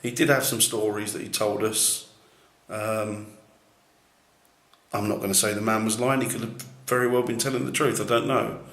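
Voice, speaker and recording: A man with a loud, booming voice, musing skeptically while ghost hunting in a large building at night. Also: little pitch movement, moderate pace. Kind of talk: casual on-location chat. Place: large echoey building, a former jail, at night. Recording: echoey room with faint noise.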